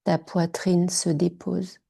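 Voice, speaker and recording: A woman guiding a yoga nidra relaxation in short, hushed, soothing phrases. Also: lowish voice, little pitch movement, slow, vocal fry, slightly rough. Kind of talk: guided meditation.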